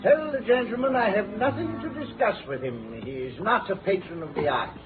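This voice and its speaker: An actor playing a pompous, boozy musician who declaims in florid, grandiloquent phrases in a 1950s radio drama. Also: male voice, high voice, animated, normal speed. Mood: haughty. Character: pompous, boozy down-and-out male musician, theatrical and stubborn. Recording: tinny vintage radio, music behind.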